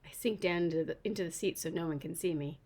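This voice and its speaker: A woman, an author, reading her children's novel aloud in an animated, informal way. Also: fairly low pitch, fast talker, breathy edge.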